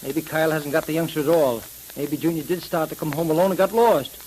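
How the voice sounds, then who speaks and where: Man playing a higher-voiced radio detective sidekick. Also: a little fast, a little mellow, natural voice, low-quality recording. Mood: worried. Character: loyal, hot-headed male detective's sidekick. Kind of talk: 1930s radio drama acting. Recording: hissy 1930s radio recording.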